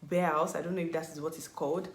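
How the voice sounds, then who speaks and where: A woman's voice: sits low, steady tone, racing. A young Nigerian woman in her twenties, talking casually to camera. She rambles, corrects herself and often searches for words. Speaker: vlogger. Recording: echoey room.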